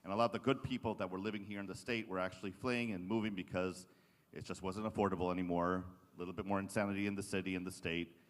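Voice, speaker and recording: A man from Israel giving an earnest, halting political speech to an audience as a state lawmaker. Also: low voice, steady tone. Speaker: state assembly member, panel speaker. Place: large indoor conference session room. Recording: echoey hall with long reverb.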